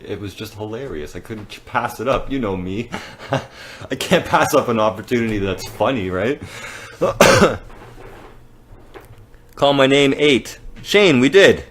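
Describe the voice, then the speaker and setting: A man's voice: fairly low pitch, a bit bright, slight background noise. Joking Canadian man on a livestream. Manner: joking, rambling storyteller. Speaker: business owner hosting a live giveaway. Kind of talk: casual livestream chat.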